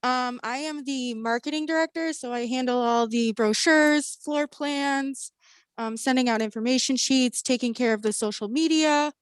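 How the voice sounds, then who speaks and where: A woman's voice: high-pitched, moderate pace, no echo. A woman introducing herself as a marketing director on a video call, listing her duties.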